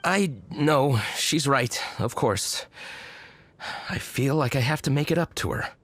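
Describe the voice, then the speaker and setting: A sheepish drunkard from a fantasy video game. He talks slowly and hesitantly in a middling voice, swinging in pitch as he apologizes and bumbles. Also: animated, a bit bright, dry recording. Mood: remorseful.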